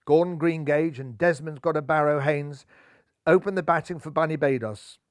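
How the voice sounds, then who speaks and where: Lively older man reading a storybook. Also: a little high, moderate pace, no room sound, studio quality. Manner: lively, theatrical. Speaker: storybook narrator. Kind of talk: expressive children's story reading. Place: living room, seated in armchair. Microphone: lapel mic.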